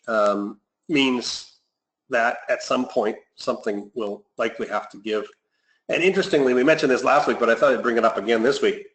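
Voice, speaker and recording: Man with an average-pitched voice hosting a weekly investment call, measured and note-driven, with stumbles. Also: fairly bright tone, poor audio. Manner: measured, reads notes with frequent fillers and restarts. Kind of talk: weekly market commentary on a conference call. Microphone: phone or headset on a conference call.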